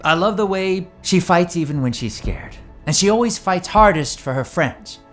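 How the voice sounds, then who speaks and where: An actor playing an assured, persuasive young man in an audio drama, loyal and reassuring, with an expressive, explanatory delivery. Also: high voice, normal speed, faint music behind. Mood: earnest, affectionate.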